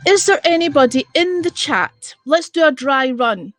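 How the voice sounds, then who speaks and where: A woman's voice: sits high, lively intonation, normal speed, a bit bright, no echo, soft background music. A woman from Edinburgh with a Scottish accent, hosting a casual live stream and chatting bluntly and exasperatedly with viewers, swearing freely. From Scotland. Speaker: livestream host.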